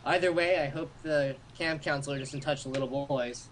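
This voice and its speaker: A man's voice: a little high, fairly even intonation, moderate pace. Irreverent man reading along, noisy recording. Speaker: amateur voice-over player in a group. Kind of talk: reading game dialogue with joking asides. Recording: noisy amateur home recording.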